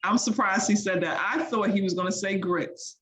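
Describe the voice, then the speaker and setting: A middle-aged woman chatting casually as a co-presenting chef, quick to react and chime in. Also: medium pitch, noisy background. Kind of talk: casual chat.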